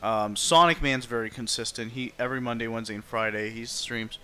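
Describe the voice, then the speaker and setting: A man's voice: mid-range voice, fairly even intonation, brisk, bright, forceful tone, clear, resonant tone. A man livestreaming a game, chatting informally and thinking aloud as he plays. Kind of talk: casual livestream chat over gameplay.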